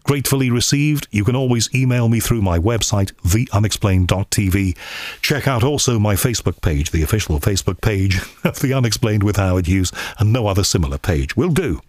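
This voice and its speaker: A man hosting a podcast, chatty and enthusiastic, filling pauses with frequent uhs as he introduces his guest. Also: on the low side, animated, a little mellow, dry recording. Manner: chatty, enthusiastic, many ums. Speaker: podcast host. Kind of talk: scripted podcast introduction and interview. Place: cold room at home.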